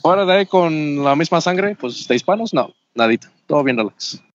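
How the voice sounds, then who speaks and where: A man's voice: fairly high pitch, animated, normal speed, no echo, dull audio. A young man, a guest in a casual interview, who now and then hunts for a word and slips into English. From Mexico. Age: young adult. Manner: searches for words, slips into English terms. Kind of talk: casual conversation.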